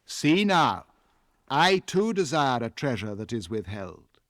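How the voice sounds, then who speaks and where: An English man reads as a proud elven king of legend, with an English accent. He is scornful and imperious, weighing each word slowly and with cold formality, as in a storybook epic. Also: medium pitch, sing-song, fairly bright tone, dry recording, studio quality. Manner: deliberate, imperious, coldly formal.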